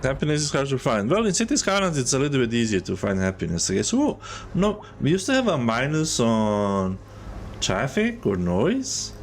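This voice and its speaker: A man's voice: mid-range voice, slight background noise. A man from Serbia, chatting casually while streaming a city-building game and thinking aloud with restarts. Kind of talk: relaxed game livestream chat. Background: soft music.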